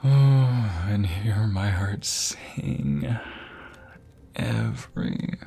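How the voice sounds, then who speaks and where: Casting: male actor as devoted, flirty husband for ASMR romance audio; deep, crackly, whispery voice, tender and lingering delivery. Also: very animated, mellow, faint music behind, heavily acted. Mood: tender, sincere. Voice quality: low, breathy, gravelly murmur, often dropping to a whisper. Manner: tender, slow, sighing delivery. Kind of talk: ASMR romantic roleplay, intimate monologue.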